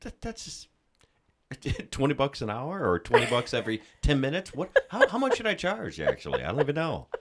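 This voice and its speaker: A man co-hosting a casual live talk show, with a deep voice and a joking, self-mocking way of bantering. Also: wildly swooping pitch, moderate pace, fairly bright tone. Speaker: co-host. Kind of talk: casual chat.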